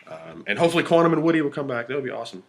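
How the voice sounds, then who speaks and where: A man's voice: mid-range voice, big pitch swings, very fast. A young man co-hosting a podcast, chatting casually, rambling and trailing off mid-thought. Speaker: podcast co-host. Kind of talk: casual chat.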